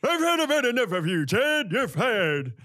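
A man voicing a cantankerous, bossy old ventriloquist puppet with a gruff, scolding manner. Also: very high voice, very animated, normal speed, bright, forceful tone, no echo, clean studio sound, voice pushed up, full character voice. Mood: irate.

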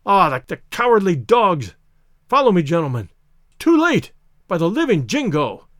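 A man performing a frantic storybook stranger, a desperate and fiercely protective man. He blusters and shouts in exclamations, high in pitch and leaping about, with a dry, close sound. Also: very animated, fairly quick, dry recording.

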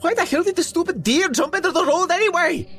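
A sulky young dude babbles in a very high, whiny voice. He sounds shocked and aggrieved, a slacker in distress, with music behind him. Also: quick pace, fairly bright tone. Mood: angry, babbling. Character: whiny, shocked young dude sulking over his car.

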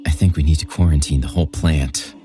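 A man's voice: a bass voice, fairly even intonation, fairly quick, music in the background. A man voicing a serious, urgent female paramedic in a horror story. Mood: alarmed, firm.

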